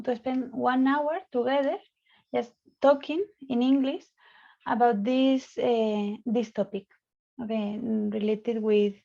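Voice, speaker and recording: A woman from Spain with a Spanish accent, a psychologist leading an online debate in slow, hesitant English full of fillers. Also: a little high, vocal fry, dark tone. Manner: slow, hesitant, frequent fillers and light laughs. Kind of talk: online discussion session. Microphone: video call. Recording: dull lo-fi call audio.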